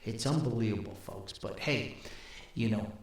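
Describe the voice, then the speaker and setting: A man's voice: fairly low pitch, vocal fry. Older male podcast host, folksy and rambling, nostalgic monologue, very reverberant recording. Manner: folksy, rambling, halting with repeated words.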